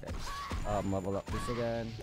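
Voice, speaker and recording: A man's voice: lowish voice, level tone, fairly quick. A man hosting a gaming video with casual, off-the-cuff commentary, swearing in an exasperated, sarcastic way. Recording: music behind the voice.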